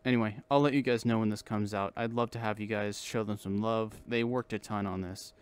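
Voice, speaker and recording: Casual man with a lowish voice. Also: fairly even intonation, fairly quick, smooth. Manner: self-deprecating. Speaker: video host and amateur voice actor. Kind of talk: casual vlog chat.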